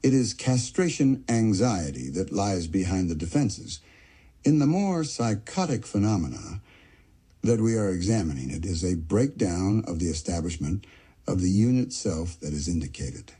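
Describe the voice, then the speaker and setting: A man giving a slow, measured audiobook-style reading of a psychoanalytic essay, pausing on the section headings. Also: sits low, sing-song, warm tone, husky. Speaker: reader of an essay.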